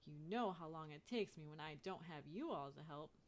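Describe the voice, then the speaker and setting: A woman's voice: low-pitched, expressive, moderate pace, a little hiss or hum, pitched below the performer's own voice. A woman voicing an easygoing grown-up neighbour lady in a storybook, coaxing children in a folksy way.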